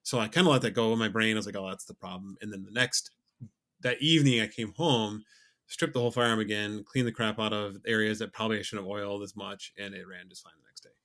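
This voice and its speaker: A young man co-hosting a podcast, talking in a relaxed, rambling way with many fillers. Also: lowish voice, fast. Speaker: podcast co-host. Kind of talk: casual chat.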